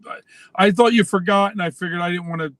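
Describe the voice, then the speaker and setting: A man with a somewhat high voice speaks as a co-host. His talk is casual and conversational. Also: fast, fairly bright tone, dry recording. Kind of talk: casual chat.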